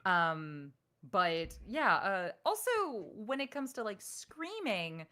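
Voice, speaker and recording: Woman voice actor giving frank tips, bright voice, lots of energy. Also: slow pace, clear, resonant tone. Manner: animated, acts out quoted people in higher voice. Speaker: voice actress guest. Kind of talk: casual interview chat.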